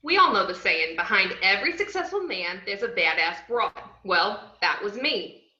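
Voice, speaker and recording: A woman playing a brash, bubbly, unhinged young antiheroine, narrating her own story with cheeky, expressive delivery in a table read. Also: mid-range voice, normal speed. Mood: boastful. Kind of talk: first-person scripted narration in an amateur table read. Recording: roomy, muffled online call audio.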